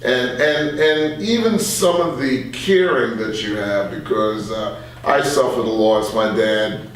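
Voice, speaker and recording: A man's voice: medium pitch, slow. A man talking casually as a talk show host, agreeing emphatically and speaking in a conversational way. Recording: distant, very echoey room, faint noise.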